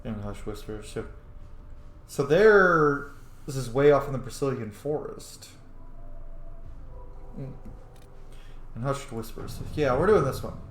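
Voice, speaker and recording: A man's voice: mid-range voice, animated, slow pace, long pauses. A man chatting casually as he plays a video game, swearing now and then. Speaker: gamer playing and commenting on a video game. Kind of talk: casual gameplay commentary, reading choices aloud. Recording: echoey room, faint background noise.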